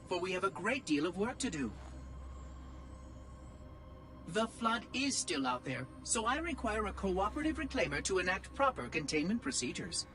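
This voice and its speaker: A high, very animated artificial mind from a video game. It is fussy and verbose, with prim, condescending diction and a sly edge. Music plays clearly behind it. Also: male voice. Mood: brisk, impatient. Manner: precise, prim, patronising phrasing. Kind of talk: video game cutscene acting.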